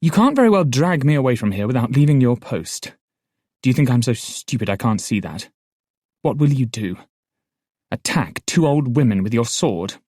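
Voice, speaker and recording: A man voicing an excitable, headstrong elderly noblewoman in an audiobook, talking in a rapid, indignant rush. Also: mid-range voice, no echo, clean studio sound, pitched above the performer's own voice. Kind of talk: audiobook character voice.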